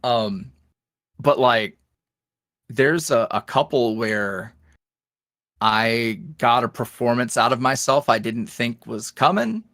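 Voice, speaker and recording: A man talking casually as a podcast guest, in a rambling, animated, self-deprecating way. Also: medium pitch, slow, pauses often, no echo, clean studio sound. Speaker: podcast guest, ASMR roleplay voice creator. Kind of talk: casual interview chat.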